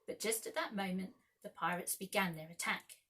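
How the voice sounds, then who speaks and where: Bright-voiced woman reading a storybook. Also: mid-range voice, moderate pace. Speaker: primary school teacher reading aloud. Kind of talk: dramatic children's storybook narration.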